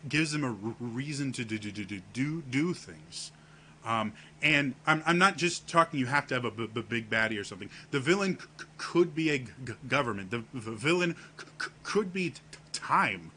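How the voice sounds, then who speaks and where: A man talking casually to camera on his vlog, with a deep voice and a heavy stutter that repeats the first sounds of words. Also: sharp tone, a little hiss or hum. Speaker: vlogger.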